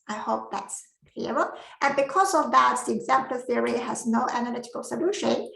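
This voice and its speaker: A woman whose first language is not English, giving an academic masterclass presentation with careful explanations and frequent pauses. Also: fairly high pitch, creaky, a bit bright, roomy sound, poor audio. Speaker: lecturer.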